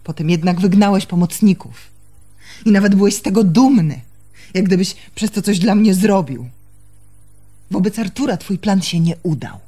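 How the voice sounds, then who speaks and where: An actress playing a proud, reproachful young barmaid and jilted fiancée in a radio drama, speaking accusingly and emphatically. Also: female voice, medium pitch, normal speed, warm tone, husky, soft background music, natural voice.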